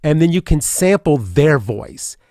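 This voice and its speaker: A man's voice: fairly high pitch, big pitch swings, measured pace, gravelly, mellow, no echo, clean studio sound. A man hosting a radio talk show in casual chat, rambling with many fillers and restarts. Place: radio studio.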